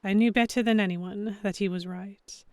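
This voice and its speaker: A mid-range female voice from an actress narrates as the earnest heroine of a historical audio drama. She is measured, introspective and self-conscious. The sound is very dry, with no room echo. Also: medium pitch, steady tone, warm tone, natural voice. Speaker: narrator and character. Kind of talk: scripted first-person audio drama narration.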